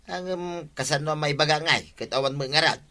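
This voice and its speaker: A grumbling villager in a radio comedy, complaining about his captain. His voice is bright and slightly high. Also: brisk. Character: complaining villager at the barangay hall. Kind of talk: radio comedy drama acting.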